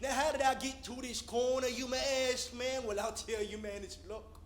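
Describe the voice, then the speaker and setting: A man's voice: very high-pitched, steady tone, normal speed, faint music behind. A man performing a dramatic monologue as a weathered, needy homeless panhandler with rambling, stammering, folksy street patter. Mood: hustling, jovial. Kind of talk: theatrical solo dramatic monologue. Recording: roomy echo, faint background noise.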